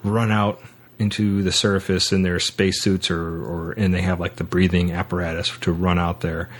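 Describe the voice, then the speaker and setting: A man hosting a podcast, casually discussing film and books in a halting way, often repeating words as he goes. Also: low voice, flat delivery. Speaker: podcast host.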